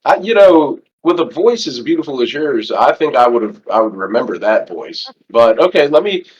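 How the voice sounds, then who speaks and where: A man voicing a smooth, flattering male cashier handling a refund. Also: medium pitch, normal speed, dull audio. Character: flirty male store cashier.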